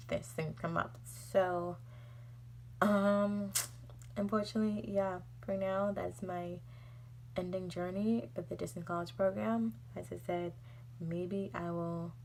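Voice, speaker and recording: A woman's voice: fairly low pitch, vocal fry, slight background noise. A young woman telling a personal story in a casual, exasperated, rambling vlog style. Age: young adult. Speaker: vlogger.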